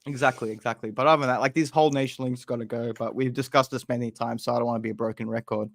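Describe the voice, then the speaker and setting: A man's voice: medium pitch, steady tone, very fast, creaky. A man hosting a casual football podcast with his co-hosts, talking loosely and restarting his sentences. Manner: rambling, restarts a lot. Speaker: podcast host.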